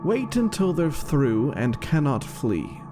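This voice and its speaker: A man's voice: on the high side, very animated, takes it slowly, music in the background. A man voicing a commanding alien warrior empress who barks battle orders in a storybook reading. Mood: stern command. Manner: barking, imperious.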